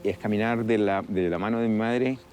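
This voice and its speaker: A middle-aged man from Costa Rica with a Costa Rican accent, a river guide talking in a relaxed, reflective documentary voice-over. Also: lowish voice, fast. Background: music.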